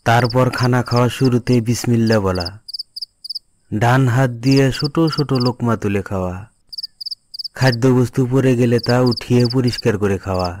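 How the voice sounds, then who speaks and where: A man's voice: medium pitch, background noise, close to an everyday voice. A man playing a pious, patient father who instructs his young son in an Islamic moral cartoon. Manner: instructive, admonishing.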